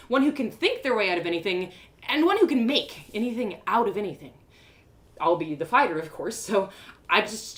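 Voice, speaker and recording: An eager young farm heroine from a storybook, acted out by a woman. She is excitable and defiant, with pitch that leaps about in a lively, dramatic way. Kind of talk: storybook character reading.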